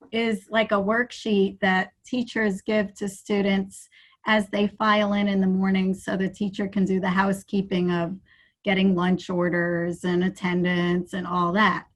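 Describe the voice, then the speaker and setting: Female participant on a video call, mid-pitched voice, frank and chatty. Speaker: workshop participant. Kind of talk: casual chat.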